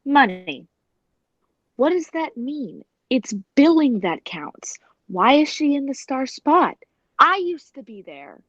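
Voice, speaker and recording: Dry, slightly dull recording; highish female actress as a bitter, fiery circus cat tamer. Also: big pitch swings, slow, a bit bright, dry recording, full character voice. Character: jealous, hot-tempered woman big cat trainer with a whip. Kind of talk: 1940s radio acting.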